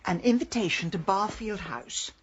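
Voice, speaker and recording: An actress playing a sharp, imperious amateur detective in a radio drama, with an upper-class English accent and a dry, arch delivery. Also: female voice, mid-range voice, normal speed, dull audio, a little hiss or hum, natural voice. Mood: brisk, smug. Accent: English Received Pronunciation. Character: sharp, imperious, witty amateur detective woman, middle-aged.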